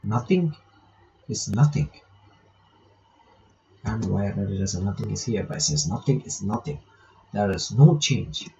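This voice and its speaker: A man's voice: fairly low pitch, creaky, warm tone, dull audio. A man reading visual novel text aloud with casual commentary, breaking off to remark and reread lines. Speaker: gaming reaction video maker.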